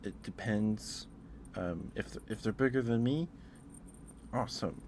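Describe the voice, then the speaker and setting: A man doing a casual, rambling ASMR livestream, speaking in a hesitant, musing way and repeating himself over clear background noise. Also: fairly low pitch, measured pace, darkish tone. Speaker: live streamer.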